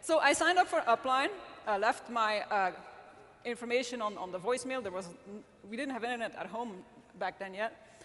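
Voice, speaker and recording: A woman's voice: a little high, expressive, normal speed, fairly bright tone. A middle-aged woman from Belgium, speaking English as a later-learned language, giving an earnest talk to an audience with frequent restarts. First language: not English. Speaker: magazine editor-in-chief speaking at a summit. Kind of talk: conference talk to a live audience. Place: large event hall. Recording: long hall reverb.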